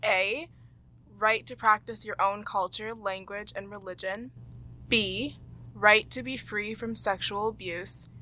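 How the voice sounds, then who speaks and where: A woman's voice: medium pitch, fairly even intonation, slow, sharp tone, poor audio. A woman with a Canadian accent hosting, presenting quiz questions and leading a group discussion. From Toronto, Canada. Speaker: host and quizmaster.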